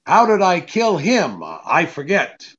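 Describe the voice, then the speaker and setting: Man playing a wisecracking hard-boiled private eye, animated pitch. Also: a little slow, dull audio, heavily acted. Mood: probing, cool. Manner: curt, slangy, sardonic. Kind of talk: 1940s radio drama acting.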